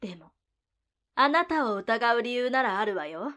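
A woman's voice: fairly high pitch, lively intonation, moderate pace, a bit bright. A woman playing a cool, alluring, defiant woman in a voice drama, with a teasing, condescending manner. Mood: cool, challenging. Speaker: voice actor. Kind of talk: anime-style voice drama acting.

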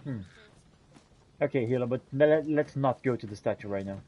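Mellow man roleplaying through a fantasy game. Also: medium pitch, normal speed, husky, lo-fi sound. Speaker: gamer roleplaying a character in a video game. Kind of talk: in-character roleplay narration of fantasy gameplay.

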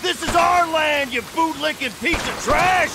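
An actor playing a hearty, feisty older uncle and ranch owner in a Western video game. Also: male voice, very high voice, a little slow, raised voice, heavy background noise. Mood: defiant. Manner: hearty, rallying, colourful. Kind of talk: dramatic video game cutscene acting.

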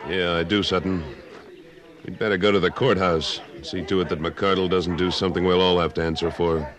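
Very deep, tough western cattleman played by an actor. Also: fairly even intonation, a little fast, a loud crowd, close to an everyday voice. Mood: wary. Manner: terse, firm and commanding. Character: tough, steady Civil War veteran turned cattleman. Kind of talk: old-time radio western acting.

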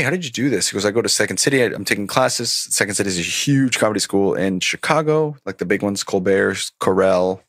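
A man in his thirties, originally from Colombia, a stand-up comedian telling stories in a casual interview, animated and laughing often as he acts out what people said. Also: on the low side, dry recording, studio quality. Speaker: podcast guest, stand-up comedian.

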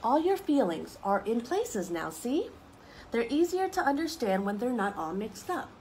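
A young woman reading picture books aloud to children, expressive and explaining things simply as she goes. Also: fairly high pitch, moderate pace, slight background noise. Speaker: story time reader for children. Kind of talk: children's picture book read-aloud with asides. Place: outdoors on a wooden deck among trees.

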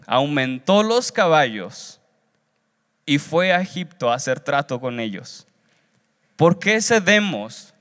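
A man's voice: on the high side, fairly bright tone. A young man preaching a sermon in an earnest, instructive way, repeating phrases for emphasis. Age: young adult. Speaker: seminary student preacher. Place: large church auditorium stage. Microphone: handheld wired microphone. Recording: reverberant hall through PA.

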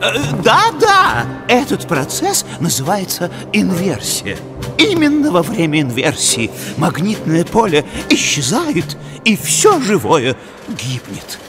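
A man voicing an excitable, bookish moose scientist who explains pedantically in a cartoon. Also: sits high, slow, exaggerated voice. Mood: pedantic. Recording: music under the voice.

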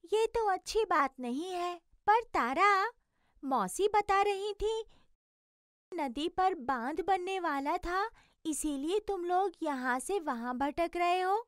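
A woman's voice: extremely high pitch, animated, takes it slowly, a bit bright, no room sound, clean studio sound, full character voice. A woman voicing a chirpy, curious little blue bird in an educational cartoon, asking many questions.

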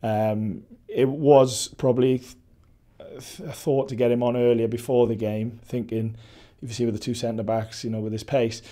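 A Welsh man in his forties, a football head coach, giving a measured post-match interview with many restarts and fillers. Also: medium pitch, level tone, warm tone. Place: indoor press backdrop at a stadium.

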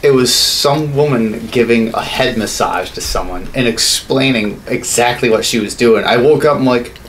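A man's voice: medium pitch, normal speed, slight background noise, low-quality recording. A man in his thirties chatting casually as a podcast co-host, irreverent and swearing heavily. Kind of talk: casual chat. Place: small home studio room, indoors.

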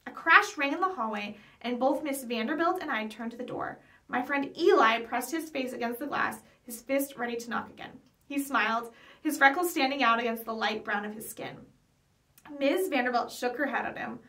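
High-voiced young woman reading a children's story. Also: moderate pace, a bit bright. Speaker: librarian reading aloud. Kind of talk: read-aloud storytelling of a children's chapter book. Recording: voice picked up from some distance.

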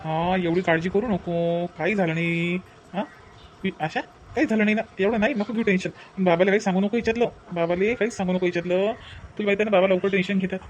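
A man's voice: high-pitched, fairly even intonation, fast, a little hiss or hum, natural voice. A man playing a bossy village husband and brother in a comedy cartoon, brusque and repetitive, with a Vidarbha Marathi accent. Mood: reassuring. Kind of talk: exaggerated cartoon family comedy.

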